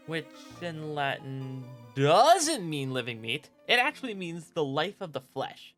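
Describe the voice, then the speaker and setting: A man narrates a video essay as its host in a fairly high voice. Music plays behind his scripted delivery. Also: a bit bright.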